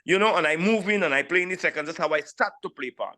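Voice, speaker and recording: A man in his sixties with a St. Lucian accent, telling his story casually as an interview guest, animated and repeating phrases for emphasis. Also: fairly high pitch, fast talker. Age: 60s. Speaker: interview guest, steel pan player.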